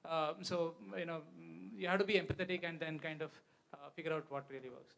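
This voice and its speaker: High-voiced Indian man, explanatory. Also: fairly even intonation, brisk, gravelly, fairly bright tone, a little hiss or hum. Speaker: guest speaker, company founder. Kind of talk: unscripted question-and-answer on stage.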